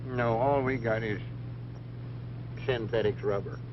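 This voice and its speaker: A man's voice: medium pitch, steady tone, unhurried, lightly acted. An actor playing a plainspoken, folksy country man in a 1940s comedy. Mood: folksy, earnest. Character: plainspoken, folksy backwoods man, bossy with his partner. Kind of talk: 1940s comedy film acting. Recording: muffled, noisy vintage film soundtrack.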